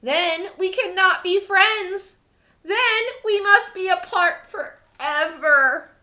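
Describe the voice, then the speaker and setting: A woman voicing an anxious, melodramatic storybook elephant with loud, emphatic, drawn-out worry. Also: very high voice, voice pushed up, full character voice. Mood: despairing. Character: anxious, melodramatic elephant prone to huge sneezes.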